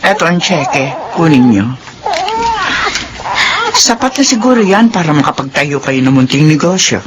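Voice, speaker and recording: A woman's voice: sits low, animated, normal speed, very noisy. An actress playing an older, well-off matriarch in a Filipino radio drama, protective and firm in her admonishing. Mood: kindly.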